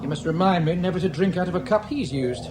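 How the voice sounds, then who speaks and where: A man's voice: sits high, fast talker, background noise, natural voice. An actor playing an indignant, sardonic male time-travelling adventurer, wordy and emphatic, in a science-fiction radio drama. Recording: echoing radio drama with music.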